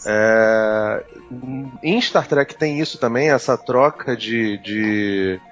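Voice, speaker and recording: A man with a Brazilian Portuguese accent talking casually as a podcast panelist, halting and repeating words as he goes. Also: on the low side. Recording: dull lo-fi sound, music behind.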